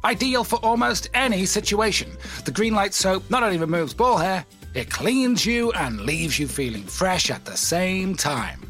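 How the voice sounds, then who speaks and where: A man's voice: high voice, very animated, moderate pace, fairly bright tone. A man playing a cheesy, grandiose post-apocalyptic salesman in a parody radio advertisement, with theatrical delivery. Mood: showy pitch. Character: cheesy, showy male wasteland radio pitchman. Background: music.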